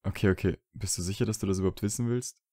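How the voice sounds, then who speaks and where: A man's voice: low-pitched, fairly even intonation, racing, warm tone, dry recording. A man playing a troubled, dryly teasing young wizard in an audio drama. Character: troubled young wizard, dry and teasing, trying to quit drinking. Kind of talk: fan fiction audio drama dialogue.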